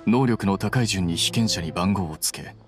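A man's voice: sits low, moderate pace, over music, natural voice. An actor playing a cool, analytical young male scholar in a Japanese anime game, explaining things in a composed, precise, matter-of-fact way.

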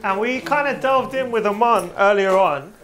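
A woman's voice: medium pitch, moderate pace, a bit bright, faint music behind. A young woman co-presenting in a chatty, teasing, casual way. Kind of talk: casual chat.

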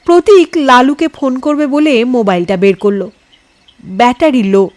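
A woman storyteller giving an expressive, dramatised reading of a scripted ghost story. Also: high voice, a little slow, no echo.

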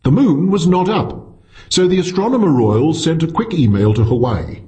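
A man's voice: a little high, expressive, normal speed, mellow. A man reading a scripted short story as an audiobook narrator.

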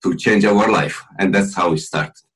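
A man's voice: deep voice, fairly bright tone, slightly rough. A middle-aged Italian man with an Italian accent, chatting casually as a podcast guest over a video call from a room at home. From Italy. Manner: jokey, chatty, with filler pauses. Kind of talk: casual interview talk.

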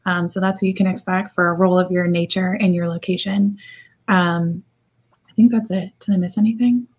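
A slightly raspy, congested-sounding woman answering questions informally as a recruiting manager on a video-call panel. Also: fairly low pitch, steady tone, a little fast, a little mellow. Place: indoor room, video call.

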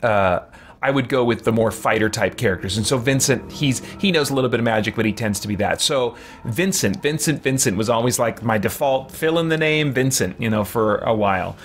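A man's voice: mid-range voice, fairly quick. A man in his forties giving casual, reflective, rambling gameplay commentary as a streamer. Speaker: game streamer. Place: small room with shelves, indoors. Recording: game ambience as clear background noise.

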